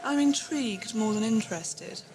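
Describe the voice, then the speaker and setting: A woman's voice: on the high side, normal speed, heavy background noise, close to an everyday voice. Woman acting a cool, commanding sci-fi henchwoman. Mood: suspicious, curt. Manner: curt, sardonic, commanding. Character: cool, suspicious armed female henchwoman. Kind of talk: audio drama acting.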